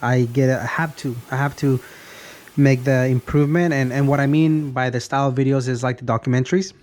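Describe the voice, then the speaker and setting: A man's voice: medium pitch, fairly even intonation, fairly quick, darkish tone. A man in his early thirties talking in a casual, rambling vlog style, often repeating and restarting his phrases. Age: early 30s. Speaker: car vlogger.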